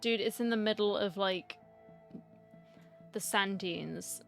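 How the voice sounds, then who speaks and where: Woman, gaming streamer, medium pitch, casual reaction commentary, game music behind. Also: takes it slowly, creaky, a bit bright.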